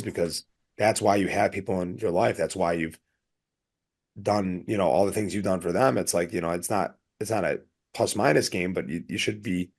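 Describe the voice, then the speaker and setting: A man's voice: lowish voice, darkish tone, breathy edge. A man talking casually as a podcast co-host, rambling earnestly in an anecdotal way.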